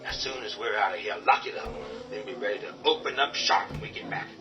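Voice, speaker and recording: Man voicing a curt survivor leader, forceful, lively pitch, distant voice, music underneath. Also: fast, lightly acted. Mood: commanding. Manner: curt, forceful, bursts of anger. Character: tough, commanding man leading survivors. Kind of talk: radio drama acting.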